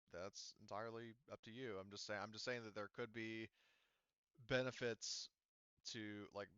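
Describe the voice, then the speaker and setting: Bright, clear-voiced man as game master. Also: fairly low pitch, dry recording, dull audio. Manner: hedging, explanatory, repeats himself. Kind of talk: tabletop roleplay discussion, casual.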